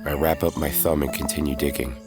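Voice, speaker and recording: A man's voice: extremely low pitch, steady tone, fairly quick. A man giving scripted narration for an audio drama, telling the story in the present tense. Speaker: narrator and lead character. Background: music.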